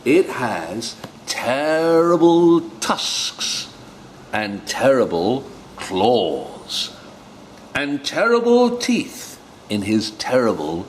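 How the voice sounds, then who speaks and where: A man voicing a polite, cunning little mouse from a storybook, with a drawn-out, dramatic delivery. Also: mid-range voice, huge pitch swings, slight background noise, exaggerated voice. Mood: boastful, menacing.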